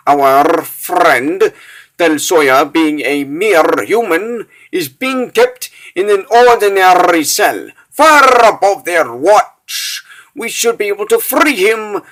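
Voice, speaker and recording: A man's voice: sits high, fairly bright tone, full character voice, low-quality recording. A man voicing a polite, long-winded scholarly schemer in a comic book dub, speaking in a formal, theatrical, carefully articulated way. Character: polite, scholarly male planner of a prison break, long-winded. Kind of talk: comic book dub, dramatic character voices.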